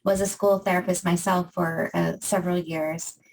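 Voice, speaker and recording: A woman's voice: on the low side, flat delivery, normal speed, gravelly, distant mic, low-quality recording. A woman from Maine hosting an interview podcast, asking questions with frequent fillers. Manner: warm hesitant questions with fillers. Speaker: podcast host.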